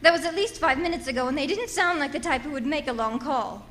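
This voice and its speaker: A woman's voice: sits high, fast, a bit bright. An actress playing an imperious, frightened married society woman in radio melodrama, agitated, haughty and prone to stammering. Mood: insistent. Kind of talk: old-time radio melodrama acting.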